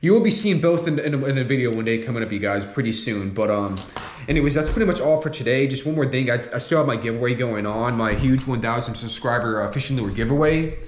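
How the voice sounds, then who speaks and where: A man's voice: mid-range voice, fast, slight background noise. A young man hosting a casual fishing vlog at home, speaking to a camera on his desk and rambling as he fills his talk with "you guys" and "you know.". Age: young adult. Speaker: fishing channel host. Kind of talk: casual lure review vlog. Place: home room with large window, indoors. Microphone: camera on desk facing him. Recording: echoey room.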